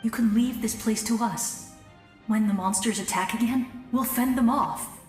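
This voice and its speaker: A woman voicing a kind, steady adviser and protector in a video game, composed and reassuring. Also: a little high, a little slow, echoey room, soft background music, natural voice. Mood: resolute.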